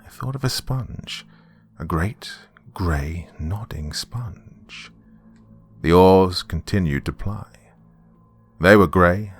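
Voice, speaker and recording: Deep-voiced British male horror narrator. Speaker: horror podcast host and narrator. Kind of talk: dramatic scripted narration and sponsor reads.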